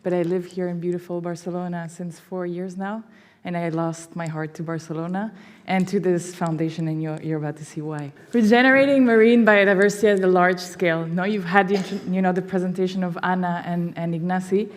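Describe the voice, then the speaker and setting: A woman's voice: fairly low pitch, fairly even intonation, a little fast. A woman from Belgium giving an enthusiastic public presentation for a foundation, peppering her talk with "you know". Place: symposium hall. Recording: long hall reverb.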